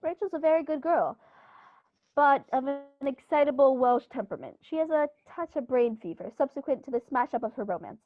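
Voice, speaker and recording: A woman's voice: high-pitched, expressive, a little fast, dry recording. A woman playing an upper-class male country squire in a 1930s-style radio play, speaking with theatrical, genteel emphasis. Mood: confiding. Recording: dull, muffled recording.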